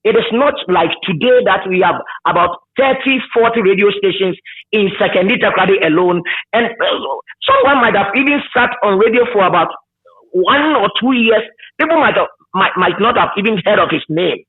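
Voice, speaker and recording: A man's voice: high voice, expressive, normal speed, slightly rough. A man with a Ghanaian accent reminiscing in an interview, emphatic and repetitive with searching starts, over a tinny call line. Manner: emphatic, repeats words, hesitant searching starts. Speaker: radio presenter being interviewed. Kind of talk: reminiscing in conversation.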